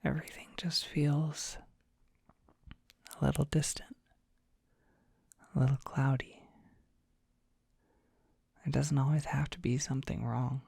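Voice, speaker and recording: A performer voicing a gentle, shy young dragon boy in an ASMR comfort roleplay, speaking in a soft breathy whisper with a hesitant, tender, soothing manner. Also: medium pitch, fairly even intonation, slow, pauses often, dry recording, studio quality, exaggerated voice. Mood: tender concern.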